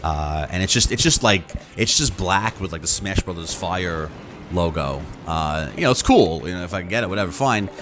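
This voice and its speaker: Man, low voice, casual gaming host, rambling, halting delivery, soft background music. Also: expressive. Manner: rambling, halting, repeats words and trails off. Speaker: YouTube gaming channel host. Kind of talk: casual, unscripted chat to viewers.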